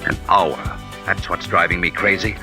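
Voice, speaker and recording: An actor playing a driven American Army major on a manhunt in an old-time radio drama, brisk and commanding with clipped orders. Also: male voice, low-pitched, fairly even intonation, music in the background, natural voice. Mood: tense, frustrated.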